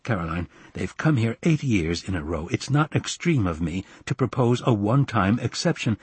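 A man voicing an exasperated, placating husband and father in a measured way. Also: fairly low pitch, very animated, slightly rough, no echo, heavily acted. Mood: firm, placating.

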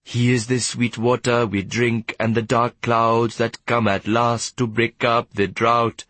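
A man voicing a prison work-gang leader who calls out a slow, rhythmic chant of solemn praise in an audiobook story. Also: mid-range voice, level tone, a bit bright, no room sound, pitched above the performer's own voice, close to an everyday voice. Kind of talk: sung chant.

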